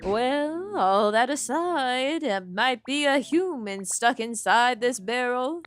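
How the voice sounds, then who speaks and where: A woman voicing a prim, polite and sensible game creature with a formal, measured manner. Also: high voice, animated, clean tone, faint music behind. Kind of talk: game character read aloud.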